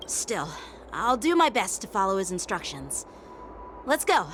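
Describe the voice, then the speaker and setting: A man's voice: high-pitched, very animated, a little slow, pushed and bright, slight background noise, full character voice. An actor playing an earnest, dutiful young male officer who speaks courteously and formally. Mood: polite. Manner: courteous, formal, self-critical. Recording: game dialogue over music. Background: game music.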